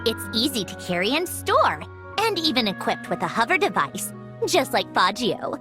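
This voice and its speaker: A woman's voice: on the high side, big pitch swings, moderate pace, music in the background. A woman playing a proud, refined young lady inventor in an anime video game, speaking in a prim, self-assured and articulate way. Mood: proud, composed.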